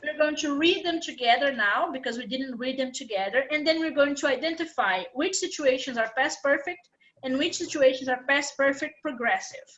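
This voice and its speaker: A woman's voice: high voice, normal speed, fairly bright tone, muffled recording. A woman teaching an English grammar class online, explaining patiently step by step.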